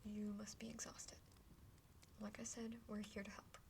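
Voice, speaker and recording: A woman's voice: mid-range voice, flat delivery, moderate pace, natural voice. Woman acting a haughty whispering half-dragon older sister. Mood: exasperated, reassuring. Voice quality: breathy whispering. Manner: condescending, bluntly spoken. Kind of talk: whispered ASMR roleplay. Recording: clearly noisy background with clicks.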